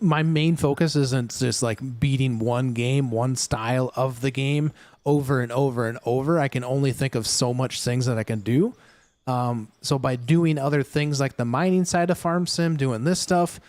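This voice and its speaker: A man's voice: medium pitch, darkish tone, no room sound. A man hosting a casual gaming livestream, talking to his viewers in a rambling way with many fillers and restarts. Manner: chatty, talks straight to chat viewers.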